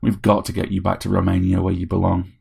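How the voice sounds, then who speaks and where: A man's voice: low voice, completely flat delivery, fast talker, creaky, a little mellow, breathy edge, exaggerated voice. A man voicing a middle-aged, washed-up programmer in an audiobook story, wry and scrappy.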